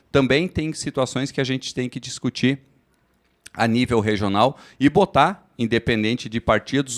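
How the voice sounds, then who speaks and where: A man speaks in a steady, formal way, explaining point by point. His pitch sits in an ordinary middle range, and he sounds like an executive answering questions in a studio interview. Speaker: cooperative credit executive. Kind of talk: live fair studio interview.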